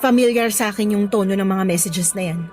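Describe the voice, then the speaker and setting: A woman playing an earnest young female teacher in a radio romance drama, expressive and mixing Tagalog with English. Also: medium pitch, brisk, faint music behind, close to an everyday voice. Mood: uneasy, hesitant.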